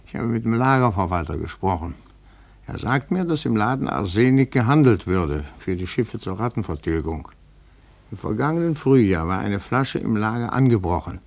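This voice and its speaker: An actor playing a shrewd, insinuating male investigator in a 1950s German radio play, musing deliberately and asking pointed questions. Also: on the low side, expressive, gravelly, darkish tone. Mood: measured, cautious.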